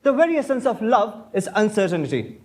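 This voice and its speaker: A young man playing an idle, witty, pompous young Victorian gentleman in a stage comedy. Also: high voice, very animated, a little fast. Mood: witty, bossy. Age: young adult. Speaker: student actor in a stage play. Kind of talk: stage comedy of manners acting.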